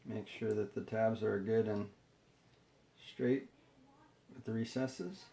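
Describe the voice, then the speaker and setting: Male how-to presenter, unhurried and dark-toned, unscripted, explaining each step haltingly in an echoey room. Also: fairly low pitch, fairly even intonation, gravelly. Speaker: tutorial presenter. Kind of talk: hands-on how-to demonstration, unscripted.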